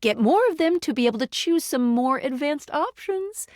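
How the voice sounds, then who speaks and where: A woman playing a perky, quirky, friendly young game guide, speaking in an expressive, playful and slangy way. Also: high voice, moderate pace, no echo, clean studio sound. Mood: cheerful explaining. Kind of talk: video game character voice lines.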